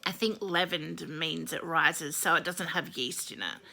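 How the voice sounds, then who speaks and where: A woman with a slightly croaky voice explaining a holiday in a casual, meandering way, with many ums and self-corrections. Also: sits low, a little slow, sharp tone, slight background noise. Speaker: video host explaining a holiday. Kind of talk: casual explanatory talk to camera.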